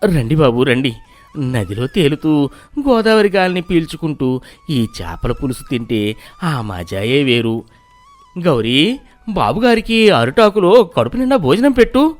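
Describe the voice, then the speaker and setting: A humble, brave boatman from an animated storybook, voiced by a man. He speaks politely and earnestly, with a lively, rising and falling voice. Dry, close recording with faint music behind. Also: fairly high pitch, unhurried, pauses often, no room sound. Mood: welcoming. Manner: deferential, earnest.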